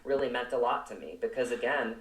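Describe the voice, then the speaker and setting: A man's voice: medium pitch, fast, far from the mic. Male interview guest, reflective and sincere, chatting from a little way off the mic in an echoey room. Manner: earnest, reflective. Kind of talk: conversational interview.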